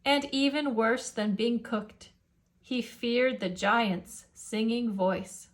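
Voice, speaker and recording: A woman with a high voice reads a rhyming story for children. Her pace is slow and measured, with expressive storyteller phrasing. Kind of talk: rhyming picture-book read-aloud for children.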